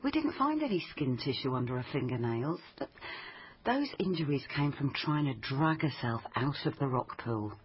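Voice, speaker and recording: A woman's voice: extremely low pitch, very animated, moderate pace, muffled recording. A woman playing a level-headed, persistent police officer in a naturalistic radio crime drama. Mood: insistent. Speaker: actor.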